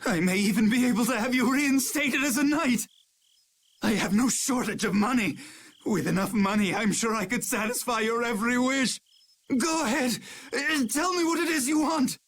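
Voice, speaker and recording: A man's voice: extremely high pitch, moderate pace, fairly bright tone, full character voice. A man playing a vain, cowardly noble knight captain in a video game, with a haughty, theatrical, pleading delivery. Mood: desperate bargaining. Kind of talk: dramatic video game voice acting.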